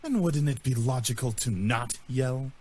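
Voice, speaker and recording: Aloof young man, dark mellow tone, dry, restrained, visual novel game. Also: medium pitch, a little slow, slightly rough, no room sound. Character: aloof, annoyed, level-headed young man.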